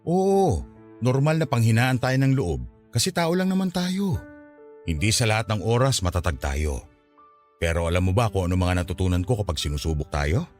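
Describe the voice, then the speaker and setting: A man's voice: lowish voice, sing-song, moderate pace, darkish tone, soft background music. A man playing a patient, hopeful middle-aged father in a Filipino radio drama, giving earnest advice. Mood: hopeful, resolute.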